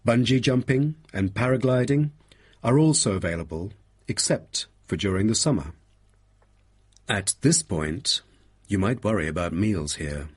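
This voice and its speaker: Man as park tour guide for a listening exam: low, slightly rough voice, welcoming, informative, measured scripted read. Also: expressive. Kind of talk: scripted informational talk to visitors.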